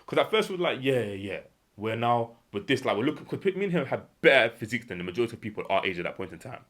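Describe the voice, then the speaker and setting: A man's voice: very animated, fast, fairly bright tone. A young man with a British accent giving an earnest motivational monologue, repeating key points for emphasis and acting out little imagined exchanges. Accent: British English. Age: young adult. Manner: acts out imagined dialogue in a lower voice. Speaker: self-improvement vlogger.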